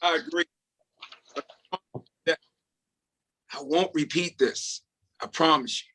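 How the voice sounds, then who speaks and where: A man's voice: fairly high pitch, moderate pace, pushed and bright. A man playing a nervous, defiant prison inmate at a parole hearing in a script read, agitated and protesting. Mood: compliant. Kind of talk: script table read acting.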